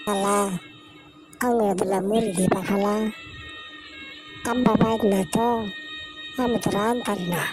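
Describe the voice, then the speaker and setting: A woman's voice: medium pitch, fairly even intonation, a little slow, pauses often, lightly acted. A woman voicing a poor, hungry, motherless little girl in a Bengali moral cartoon, plaintive, with a rural Bangladeshi accent. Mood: pleading, hungry. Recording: background music over the voice.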